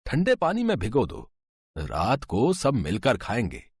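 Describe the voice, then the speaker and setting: A man's voice: medium pitch, a little slow, breathy edge, no room sound, studio quality, heavily acted. A man voicing an easygoing older father-in-law in a cartoon story. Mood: cheerful. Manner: relaxed, indulgent.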